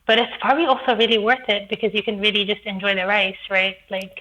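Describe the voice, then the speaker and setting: A woman's voice: mid-range voice, little pitch movement, quick pace, sharp tone, low-quality recording. A woman from Ethiopia, a chef guest on a podcast, chatting playfully and laughing often. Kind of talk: casual interview chat.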